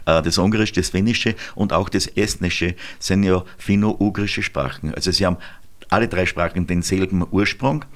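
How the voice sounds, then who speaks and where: A man's voice: sits low, normal speed, fairly bright tone. A man hosting a radio talk, chatty and explanatory, with frequent hesitations. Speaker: radio host. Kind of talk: radio conversation.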